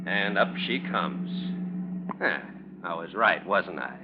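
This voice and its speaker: An actor playing a brusque, sardonic, suspicious police officer in a 1940s radio drama, talking in tough slang. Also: male voice, deep voice, lively intonation, takes it slowly, exaggerated voice. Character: gruff, streetwise, suspicious male police officer. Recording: muffled, noisy old radio recording.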